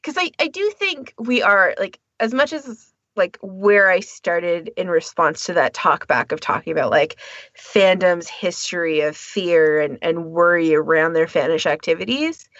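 A woman with a fairly low voice co-hosts a casual discussion podcast. She talks things through in an explanatory, discursive way. The sound is very dry and a bit dull and lo-fi. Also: lively intonation, a little slow, a bit bright, no room sound. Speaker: podcast co-host.

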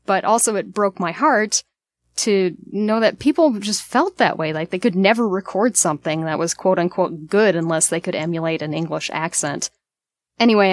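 A woman leaving a conversational voice message for a podcast, sharing reflective personal commentary in an earnest way. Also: medium pitch.

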